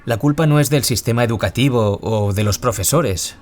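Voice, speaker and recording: A man's voice: mid-range voice, normal speed, lightly acted. A man with a Peninsular Spanish accent playing an earnest, reflective author who survived bullying, in a scripted radio interview. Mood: reflective, serious. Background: music.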